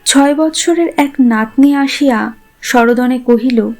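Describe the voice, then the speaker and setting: A woman's voice: high-pitched, takes it slowly, a little mellow, soft background music. A woman narrating a literary story a script.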